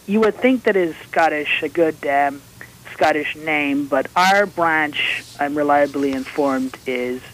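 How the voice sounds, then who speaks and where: Irish guy on the phone line, accent gone a bit american, talks slow and careful with lots of pauses. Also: a little high, a bit bright. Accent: Irish, partly Americanized. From Republic of Ireland. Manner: measured, explanatory, hesitant with pauses. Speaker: guest author and lawyer. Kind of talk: call-in radio interview. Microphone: telephone line.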